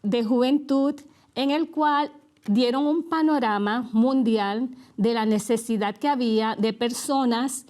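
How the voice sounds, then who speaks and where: Puerto Rican woman co-hosting a faith show, somewhat high-pitched, easygoing personal storytelling. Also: a little slow. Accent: Puerto Rican Spanish. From Puerto Rico. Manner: relaxed storytelling with light laughs. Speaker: co-host and guest. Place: TV studio.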